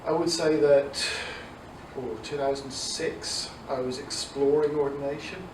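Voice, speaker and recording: A man's voice: a little high, steady tone, unhurried. Irish guy in his 40s w some english in the accent, chatting in interview, echoey room, far from mic, noisy. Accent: Irish mixed with English. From south Dublin, Ireland. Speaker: youth ministry director. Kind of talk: conversational interview answers.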